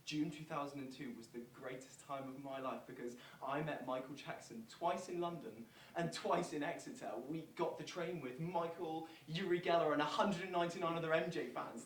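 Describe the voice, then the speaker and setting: A stage performer playing a devoted, starstruck pop fan delivering a testimony, recorded from a distance in an echoey room. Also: male voice, fairly high pitch, normal speed, hardly any pauses, slight background noise, close to an everyday voice. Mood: elated, devoted. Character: devoted, starstruck pop superfan recounting a meeting. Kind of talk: theatrical monologue of quoted fan testimony. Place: theatre space, recorded from afar. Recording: echoey hall, distant microphone, poor copy.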